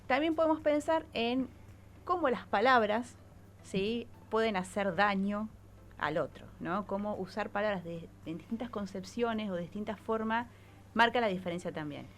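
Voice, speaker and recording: A woman's voice: mid-range voice, moderate pace, a little hiss or hum. A woman with an Argentine accent, a psychologist columnist explaining things on radio and often checking in with short tag questions.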